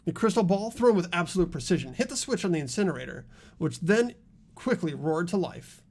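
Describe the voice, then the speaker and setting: A man voicing an earnest high school boy in a mystery game, explaining a case in a deliberate, dramatic way. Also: big pitch swings, a little mellow, full character voice. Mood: grave, determined. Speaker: amateur voice actor in a game playthrough.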